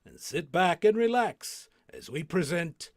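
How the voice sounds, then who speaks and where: Higher-pitched, animated male show presenter. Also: unhurried, no room sound, studio quality. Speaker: presenter and cast member. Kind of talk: scripted theatrical introduction.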